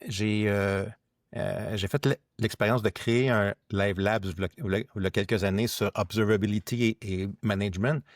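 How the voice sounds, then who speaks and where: A man with a Quebec French accent hosting a casual tech podcast, speaking haltingly and repeating his words. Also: on the low side, dry recording, studio quality. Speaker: podcast host.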